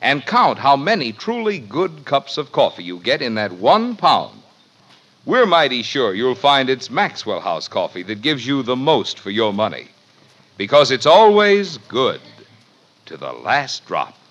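Animated American radio pitchman. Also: measured pace. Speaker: commercial announcer. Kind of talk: 1950s radio advertising pitch.

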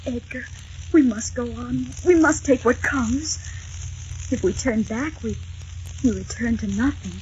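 A woman's voice: on the high side, measured pace, pauses often, darkish tone, slight background noise, natural voice. A woman acting a tender, devoted young wife in 1940s radio drama style, earnest and soothing. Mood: romantic, resolute. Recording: dull vintage radio recording with music.

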